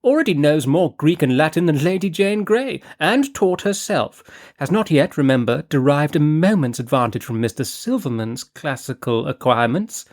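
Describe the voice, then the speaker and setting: A man's voice: on the high side, darkish tone, voice pushed up, natural voice. A man reading an audiobook voices a gushing, flighty aristocratic lady, a scheming mother, with a theatrical manner.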